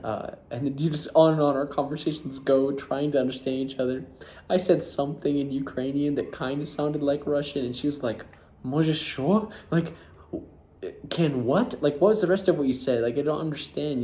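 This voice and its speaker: An American man in casual storytelling, animated and laughing as he acts out what people said. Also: medium pitch. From United States. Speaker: vlogger.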